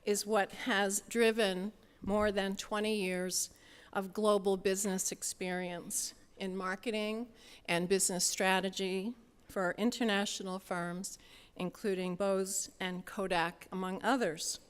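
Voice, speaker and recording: A woman giving a prepared introduction of a speaker at a conference, in a measured, formal way. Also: mid-range voice, steady tone, clean tone. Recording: reverberant hall sound.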